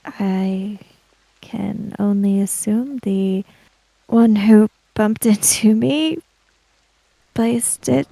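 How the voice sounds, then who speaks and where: A woman playing in a tabletop role-playing game, chatting casually and narrating her character, sometimes haltingly. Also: medium pitch, gravelly, dark tone, no room sound, rough recording. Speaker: tabletop role-play player.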